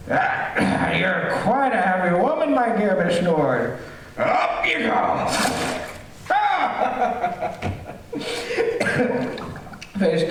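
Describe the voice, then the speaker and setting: A radio-thriller villain, a fussy and eager host with menace underneath. His voice is high and swings widely as he repeats himself at a slow pace. It carries through a very roomy theater. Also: full character voice. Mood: gloating. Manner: repetitive, fussy, chuckling, sinister. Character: fussy, eager homeowner, secretly a gleeful murderous villain. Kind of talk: stage performance of golden-age radio thriller. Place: theater stage, live performance. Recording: roomy theater, far from mic, faint noise.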